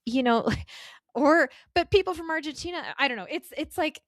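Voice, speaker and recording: A woman's voice: high-pitched, no room sound. Upbeat chatty woman, dry sound. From United States. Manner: chatty, upbeat, quick asides. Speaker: podcast guest, travel host. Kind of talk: casual interview conversation.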